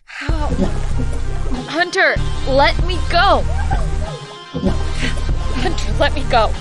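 A woman's voice: high voice, animated, extremely slow, exaggerated voice, rough recording. An actress playing a sarcastic, easily riled young woman in an audio drama. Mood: frightened, angry. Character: distressed young woman, groggy, sarcastic, quick to bristle. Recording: loud music under the voice.

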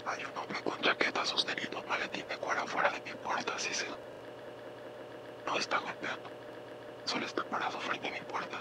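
A man's voice: a little fast, pauses often, in a whisper, lightly acted. A man with a Latin American accent playing an earnest, uneasy scientist leaving voicemail messages in a radio drama. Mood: whispering, fearful. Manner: earnest, preoccupied.

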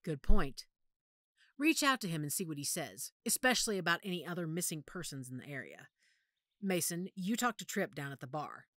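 A woman plays a werewolf pack matriarch with a low-pitched voice, recorded close and dry. She is commanding and calculating, and she speaks with deliberate authority, as in an audio drama. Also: animated, bright, forceful tone, no room sound. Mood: decisive.

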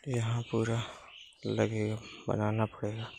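A man's voice: lowish voice, slow pace, creaky. A young man vlogging casually outdoors as he shows his village garden and explains the work. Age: young adult. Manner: relaxed, explains while showing his garden. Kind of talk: casual village vlog narration. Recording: noisy outdoor ambience.

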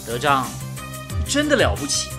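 Young male engineer, devoted, courteous, radio drama, animated pitch, musical backing. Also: normal speed, music in the background. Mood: curious, admiring.

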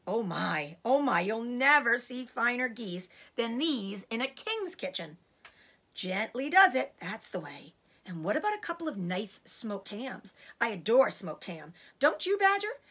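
A woman's voice: on the high side, sing-song, unhurried, a bit bright, breathy edge, heavily acted. A woman voicing a cocky, gleeful, bossy male fox father in a storybook, with a showy, exclamatory delivery. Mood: gleeful, relishing.

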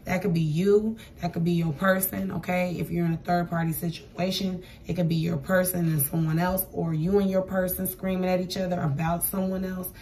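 A hoarse, raspy woman giving a casual tarot reading at a table, chatty and repeating "okay?" to her viewers. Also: lowish voice, little pitch movement, fairly quick, few pauses, warm tone, far from the mic, slight background noise. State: hoarse, voice going for days. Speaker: tarot reader. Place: indoors at a table with cards and crystals. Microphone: camera across the table.

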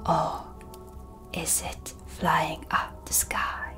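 Woman playing a slow, whispering dark witch. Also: sits low, monotone, soft background music, exaggerated voice. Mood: mysterious, guiding. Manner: slow, deliberate, hushed, dryly teasing. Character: dark witch, female, mysterious, sardonic, calm teacher of shadow magic. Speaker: ASMR roleplay performer. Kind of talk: soft-spoken whispered ASMR roleplay. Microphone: close studio mic for ASMR.

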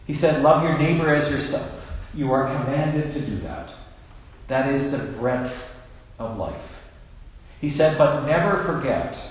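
A man in his fifties, a bishop giving a measured, deliberate sermon with emphatic pauses. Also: mid-range voice. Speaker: bishop preaching. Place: indoors in a church at a lectern. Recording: very echoey church, dull sound, faint noise.

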